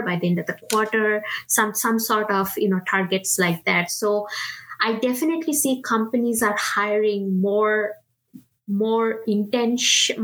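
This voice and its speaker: A woman's voice: medium pitch, rough recording. Indian woman, 30s, product manager giving encouraging advice, conversational, lots of restarts. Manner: earnest, encouraging, many fillers and restarts. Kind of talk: casual interview talk.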